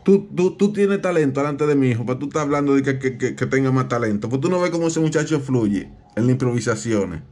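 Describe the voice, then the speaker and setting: A man's voice: mid-range voice, lively intonation, quick pace, hardly any pauses, a little mellow, close to an everyday voice. A man with a Dominican accent playing an aggrieved, protective father who claims to be a police captain on a prank call, insistent and repetitive. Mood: indignant. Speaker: prank caller. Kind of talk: improvised prank phone call.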